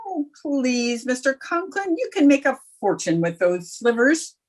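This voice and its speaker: A woman as high-voiced, sardonic schoolteacher. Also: big pitch swings, unhurried, a little mellow, heavily acted. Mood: amused teasing. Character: wisecracking, dry-witted female high school teacher. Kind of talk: old-time radio comedy acting.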